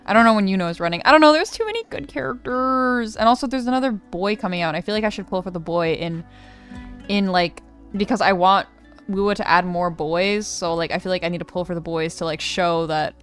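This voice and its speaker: A woman's voice: on the low side, fairly even intonation, fast. Chatty young woman gaming over music. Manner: chatty, slangy, speculating aloud. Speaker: gaming streamer. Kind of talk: casual let's play commentary. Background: game music.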